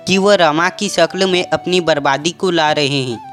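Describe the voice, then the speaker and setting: A narrator telling a cartoon horror story in a steady, matter-of-fact way. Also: medium pitch, normal speed. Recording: music bed under the voice.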